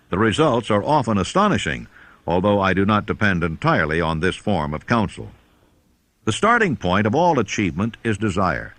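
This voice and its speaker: Expressive lower-pitched male audiobook narrator. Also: no echo. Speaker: audiobook reader. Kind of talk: scripted audiobook narration of a self-help book.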